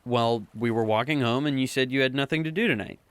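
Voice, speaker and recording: A man's voice: mid-range voice, quick pace, clean tone, no room sound, lightly acted. A young man playing Adam, a cocky but affectionate teenage boy in an audio drama, bantering playfully like a know-it-all.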